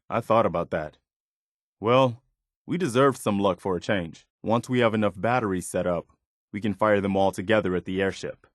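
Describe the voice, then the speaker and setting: Casting: male actor as steadfast American wasteland militia officer for a game companion role, lowish voice, plainspoken, very dry close sound. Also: no echo, lightly acted. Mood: relieved, hopeful. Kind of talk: video game companion voice lines.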